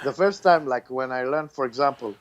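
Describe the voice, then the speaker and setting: A man from Iraq whose first language is Arabic, speaking English with an Arabic accent and apologizing for his language in a casual chat. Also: mid-range voice. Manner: slow, apologetic, brief backchannels. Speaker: podcast co-host.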